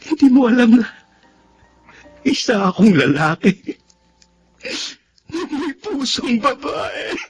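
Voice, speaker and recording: Casting: lovesick young man with a secret, high voice, anguished radio melodrama delivery. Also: sing-song, slow pace, pauses often, faint music behind, heavily acted. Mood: anguished, tearful. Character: lovesick young man hiding his love for his male friend. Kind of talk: Tagalog radio drama acting.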